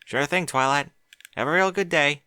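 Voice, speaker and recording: A man's voice: fairly high pitch, normal speed, bright, forceful tone, clear, resonant tone, dry recording, clean studio sound, voice pushed up. Man voicing a folksy young farm pony mare. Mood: friendly. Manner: plainspoken, folksy. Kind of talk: audiobook reading of fan fiction.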